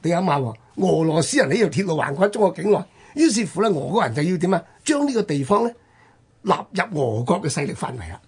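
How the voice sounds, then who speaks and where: A man with a Hong Kong Cantonese accent hosts a history talk. His voice is very rough and husky, and his pitch moves widely as he stresses points and stretches his phrase endings like a storyteller. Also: big pitch swings. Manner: emphatic storyteller with drawn-out phrase-ending particles. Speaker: radio host. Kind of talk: conversational history storytelling on radio.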